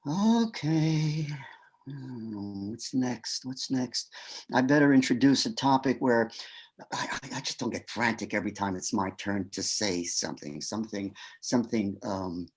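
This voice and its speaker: A man's voice: medium pitch, unhurried, husky. An actor playing a shy, nervous man who stammers and coaches himself through small talk, in a stage comedy. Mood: flustered. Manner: flustered, stammering, self-coaching. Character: shy nervous man named Pete at a gallery. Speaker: actor in a one-act play. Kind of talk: stage comedy inner monologue. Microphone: video call.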